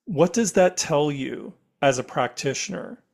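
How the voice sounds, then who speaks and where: Raspy-voiced man podcast host. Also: a little high, animated, a little slow. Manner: conversational questioning.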